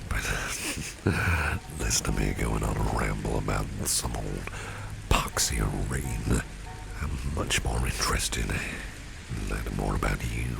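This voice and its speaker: Man playing a very deep, gravelly gentleman boss. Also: heavily acted. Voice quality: breathy low half-whisper, gravelly. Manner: gentlemanly, formal, soothing. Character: courteous, protective, doting businessman boss. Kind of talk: ASMR roleplay. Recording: noisy, with rain and street ambience.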